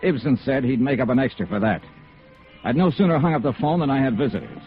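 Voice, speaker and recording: A man playing a deadpan hard-boiled radio detective. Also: mid-range voice, fast, lightly acted. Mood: wry narrating. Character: tough, dry, wry male freelance insurance investigator narrating his case. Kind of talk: 1950s radio noir acting, scripted first-person narration. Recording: muffled vintage radio recording with music.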